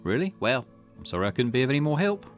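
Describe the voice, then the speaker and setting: A man's voice: medium pitch, big pitch swings, fast, natural voice. A shady, theatrical male stranger from a visual novel, acted out by a man, teasing and mock-polite. His voice swoops about and lifts above music.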